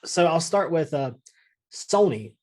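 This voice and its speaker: A man's voice: a little high, expressive, unhurried, a little mellow. A man co-hosting a podcast in casual, unscripted conversation. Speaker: show co-host.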